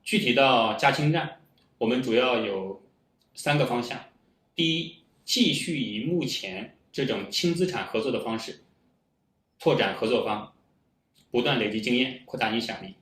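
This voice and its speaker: A man gives a prepared presentation in a formal, orderly way, moving point by point. His voice sits at an ordinary pitch. It is recorded in an echoey room. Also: moderate pace, a bit bright. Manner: formal, list-like delivery.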